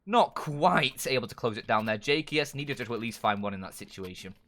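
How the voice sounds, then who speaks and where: Guy doing play-by-play on an esports match, bright forceful voice, gets loud on big plays, faint hiss behind. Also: medium pitch, brisk. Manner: excitable, builds to shouting at big plays. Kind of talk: live esports commentary.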